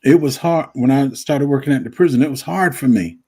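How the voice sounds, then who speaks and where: A husky, mid-pitched man in his fifties joins a live stream as a guest. He tells relaxed stories and often repeats his points. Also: brisk. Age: 50s. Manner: relaxed storytelling, repeats himself. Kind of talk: casual chat.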